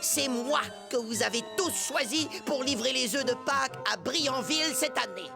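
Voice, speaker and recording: A woman's voice: sits high, moderate pace, gravelly, over music. A woman voicing a vain, jealous rabbit in a cartoon, pompous, whiny and melodramatic. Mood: outraged. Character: vain, jealous injured rabbit, self-important rival. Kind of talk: French cartoon character acting.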